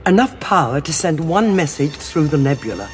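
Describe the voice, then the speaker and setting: A woman playing a resourceful, determined agent in a science fiction audio drama, speaking with brisk urgency. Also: sits low, big pitch swings, slight background noise. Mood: urgent, determined.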